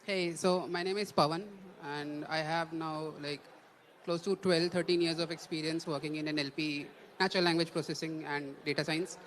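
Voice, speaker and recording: A man's voice: a little high, fairly even intonation, normal speed, a bit bright, slight background noise, low-quality recording. A man introducing himself on a conference panel, stumbling and repeating words. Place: conference stage.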